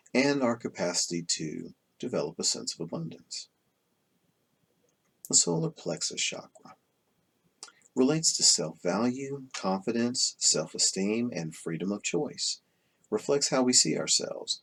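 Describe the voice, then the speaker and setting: A man reading a self-help book aloud as scripted narration, in measured, deliberate phrases with pauses between them. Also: low-pitched, creaky, fairly bright tone, husky. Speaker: audiobook reader.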